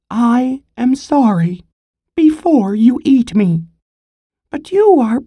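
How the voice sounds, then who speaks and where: Woman doing a high chirping little squirrel. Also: warm tone, husky, dry recording, studio quality, heavily acted. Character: small cheeky young male squirrel.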